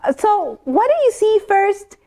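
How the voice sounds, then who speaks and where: High, lively woman host. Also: unhurried. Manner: asks questions, brief affirmations. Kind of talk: interview host.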